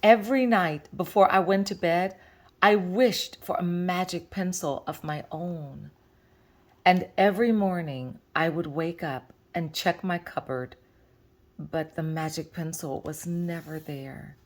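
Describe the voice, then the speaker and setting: A woman tells a children's story aloud at a very slow pace. Her voice sits a little low, and she shapes each phrase deliberately and expressively. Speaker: librarian reading a picture book aloud.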